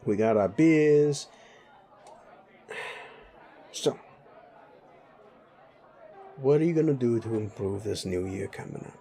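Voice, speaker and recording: A man's voice: fairly low pitch, expressive, slow pace, gravelly, long pauses, warm tone, some chatter behind, exaggerated voice. A man playing a sleazy, bullying, foul-mouthed werewolf boss in a roleplay audio drama, blunt and needling. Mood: cocky, teasing. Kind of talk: immersive roleplay audio drama addressed to listener.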